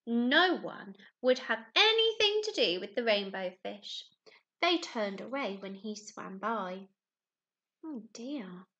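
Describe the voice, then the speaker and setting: High, animated young woman teacher. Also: lo-fi sound. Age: young adult. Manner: expressive, simple child-directed phrasing with questions. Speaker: primary school teacher. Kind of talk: children's story time, talking to young pupils and reading aloud. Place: small room at home, indoors.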